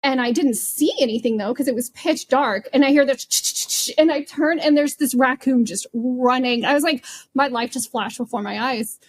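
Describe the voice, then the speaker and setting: Woman, high-pitched voice, podcast panelist, informal storytelling, casual chat. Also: normal speed, few pauses. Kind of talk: casual chat, personal anecdotes.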